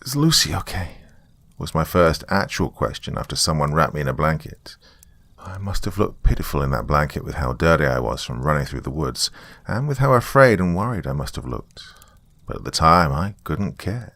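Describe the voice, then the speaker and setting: A man's voice: a bass voice, animated, moderate pace, a bit bright, dry recording. A man reading a scripted first-person story as a dramatic narrator.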